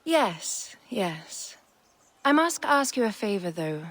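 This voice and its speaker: A woman's voice: medium pitch, sing-song, a bit bright, exaggerated voice. An actress playing a gracious queen in a video game, confiding and affectionate, taking time over each phrase. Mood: gracious, uneasy. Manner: unhurried, affectionate. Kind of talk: dramatic video game acting.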